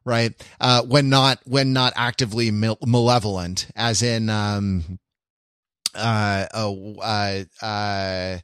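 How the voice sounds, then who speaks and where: A man co-hosting a casual, reflective pop culture discussion, halting and stammering as he works out his ideas. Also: fairly low pitch, fairly even intonation, fairly bright tone, clear, resonant tone, dry recording, studio quality. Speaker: podcast co-host.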